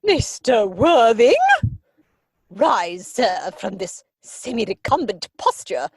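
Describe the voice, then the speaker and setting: A woman's voice: medium pitch, big pitch swings, takes it slowly, a little mellow, husky, no room sound, studio quality, exaggerated voice. A woman playing a haughty, disapproving middle-aged aristocratic mother in a theatrical period comedy, pompous and commanding. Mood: indignant, commanding.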